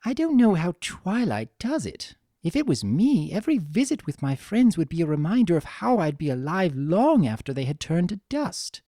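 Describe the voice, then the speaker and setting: A reader voicing a vain, refined fashionista pony mare with a genteel, dramatic and expressive delivery in a story. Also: medium pitch, moderate pace, few pauses, no room sound, clean studio sound, pitched above the performer's own voice. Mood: troubled, morbid.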